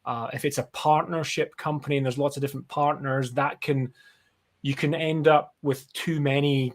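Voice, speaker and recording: A man's voice: on the high side, fairly even intonation, normal speed. A man with a Scottish accent giving explanations in a casual, rambling, conversational interview style. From Scotland. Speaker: guest, brand strategist and designer. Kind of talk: casual live interview chat.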